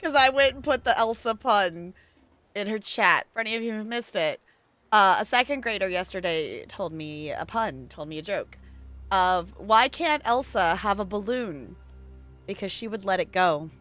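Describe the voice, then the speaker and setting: A woman chatting casually and animatedly on a game livestream, halting mid-thought as she goes. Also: medium pitch, lively intonation, fairly bright tone, faint music behind. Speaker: video game live streamer. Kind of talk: casual livestream chat over gameplay.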